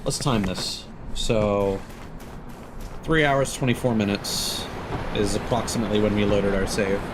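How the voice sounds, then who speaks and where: A man's voice: fairly low pitch, fairly even intonation, a little slow, background noise. A man giving live gameplay commentary, thinking aloud and correcting himself in an exasperated, complaining way. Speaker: game streamer.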